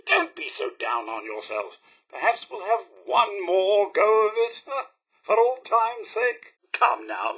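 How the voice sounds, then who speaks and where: An actor playing a loyal, disillusioned robot butler in a video game, with a British accent and a formal, theatrical manner full of wounded propriety. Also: male voice, sits high, vocal fry, pushed and bright, breathy edge, full character voice. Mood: gently reassuring. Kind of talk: video game character dialogue.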